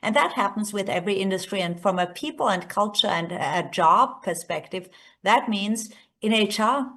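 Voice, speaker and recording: A woman's voice: medium pitch, fairly bright tone. A woman from Austria with an Austrian accent, a native German speaker, giving a semi-scripted conference keynote as a research executive. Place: remote presentation by screen share.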